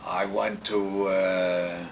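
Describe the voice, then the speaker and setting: Slow, halting man in his eighties. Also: low voice, level tone, vocal fry, slight background noise. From United States, born in Poland. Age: 80s. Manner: slow, halting, deliberate answers. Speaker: interviewee, family elder. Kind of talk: home video family interview. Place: home in a retirement community.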